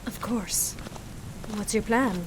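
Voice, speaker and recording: A woman playing a playful, affectionate Dragonborn adventurer, soft and teasing, in a fantasy audio drama. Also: mid-range voice, slow pace, background noise, close to an everyday voice. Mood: warm, teasing.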